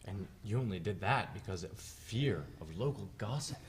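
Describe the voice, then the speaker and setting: A man's voice: lowish voice, lively intonation, moderate pace, breathy edge. A man playing a coldly polite colonial government envoy in a dramatic play reading, formal and condescending, with hesitant phrasing. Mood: polite, businesslike.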